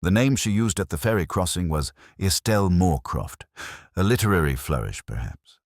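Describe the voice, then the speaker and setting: A man acts out a brooding Victorian gentleman detective in an audiobook. The voice sits extremely low, with expressive swings in pitch. His phrasing is formal, terse and deliberate. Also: dry recording, clean studio sound. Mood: wry, reflective.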